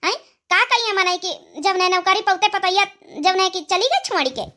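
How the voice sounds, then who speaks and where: Woman playing a shrill, plaintive old village mother-in-law, Awadhi accent. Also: normal speed, sharp tone. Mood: indignant. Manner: plaintive, dramatic pleading. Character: old village mother-in-law, tearful, pleading, honour-minded. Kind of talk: comic village cartoon dialogue.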